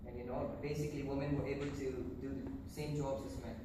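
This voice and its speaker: A man's voice: medium pitch, flat delivery, quick pace, close to an everyday voice. A young man playing a pompous, boastful prime minister in an amateur school video skit, hesitant and full of uh's. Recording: far-off echoey room with noise.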